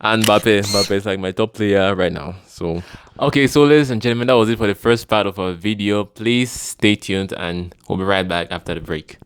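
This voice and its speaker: A man's voice: on the low side, normal speed, hardly any pauses, background noise. A man with a Cameroonian accent, hosting a casual video talk and putting questions to his guest. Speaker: host, interviewer.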